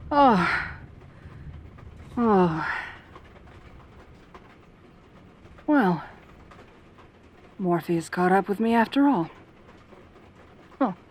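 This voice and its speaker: A woman's voice: mid-range voice, expressive, slow pace, pauses often, a little mellow. A woman playing a lonely, possessive centaur girl in an intimate ASMR roleplay, tender and anxious, with a hesitant, pleading manner. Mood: weary, wry.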